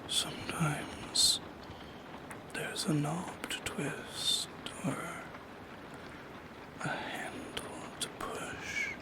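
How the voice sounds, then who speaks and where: A man voicing a lonely, shy shadow creature in an ASMR roleplay, speaking in a soft, halting, intimate whisper with a creaky edge. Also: on the high side, fairly even intonation. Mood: anxious musing. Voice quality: breathy whisper with creaky edges.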